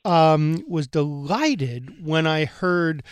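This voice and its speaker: A middle-aged male radio host from New York with a fairly high voice, chatty and enthusiastic, rambling loosely between points. His voice sounds dry and close, with no room echo. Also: expressive, very slow, clean tone. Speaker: radio talk show host and priest. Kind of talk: casual radio interview.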